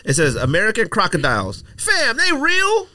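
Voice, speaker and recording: A man with a bright, ringing voice that jumps all over in pitch, sitting a little high for a man. He argues his points emphatically and with plenty of slang in casual sports chat, and the sound is close and dry. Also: huge pitch swings, moderate pace, no room sound. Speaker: podcast co-host.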